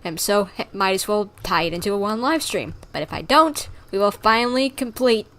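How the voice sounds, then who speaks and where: A woman chatting casually on a gaming livestream, rambling along with animated griping. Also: mid-range voice, moderate pace. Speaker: streamer.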